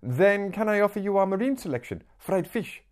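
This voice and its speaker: A man's voice: sits high, lively intonation, moderate pace, pitched above the performer's own voice. A man voicing a small, eager teenage girl selling her wares in sing-song patter in an audiobook.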